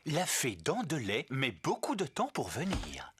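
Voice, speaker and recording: A man's voice: mid-range voice, wildly swooping pitch, a little slow, a bit bright, a little hiss or hum. A man narrating a preschool cartoon as a storyteller, in scripted children's narration. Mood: gently suspenseful. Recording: music under the voice.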